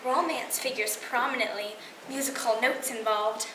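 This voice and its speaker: A young teenage girl performing a stage monologue as an excitable, hopeful young woman, chatty and dramatic. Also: a little high, moderate pace, close to an everyday voice. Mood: excited, hopeful. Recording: roomy, distant, noisy live recording.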